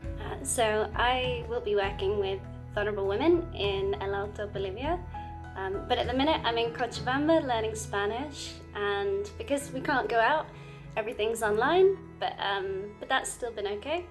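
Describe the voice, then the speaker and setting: A young woman from North Yorkshire giving a gentle update on her mission work, with fillers. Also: fairly high pitch, normal speed, music in the background. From England, North Yorkshire. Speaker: short-term mission worker. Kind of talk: recorded personal update.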